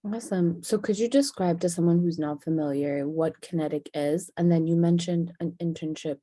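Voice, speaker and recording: Woman interviewer. Very soft dark timbre, dry sound, courteous informal questioning. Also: lowish voice, moderate pace, no room sound. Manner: polite, casual. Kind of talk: oral history interview questions.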